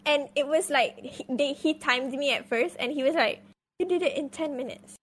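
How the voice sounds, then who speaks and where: A woman's voice: sits high, moderate pace, fairly bright tone. A young adult woman, a guest on a live stream, chatting casually and swearing as she tells a story.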